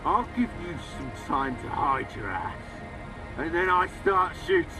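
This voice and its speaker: An actor playing a swaggering, unhinged military colonel in a video game, barking and taunting theatrically. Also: male voice, fairly high pitch, animated, measured pace, recorded from a distance, music in the background, low-quality recording. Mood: manic, bloodthirsty. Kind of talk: video game character acting.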